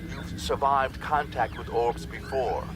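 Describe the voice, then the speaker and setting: A man's voice: medium pitch, steady tone, unhurried, noisy background, pitched above the performer's own voice, close to an everyday voice. A man voicing a harsh, commanding female alien scientist in an audiobook, clipped and explanatory. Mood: commanding, urgent. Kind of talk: audiobook character acting.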